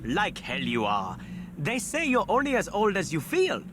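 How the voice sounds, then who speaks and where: A man's voice: high voice, wildly swooping pitch, normal speed, background noise. A man playing a younger Oxford scholar in a video game, a loyal partner who speaks precisely and teases dryly. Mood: wry. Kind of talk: dramatic video game acting.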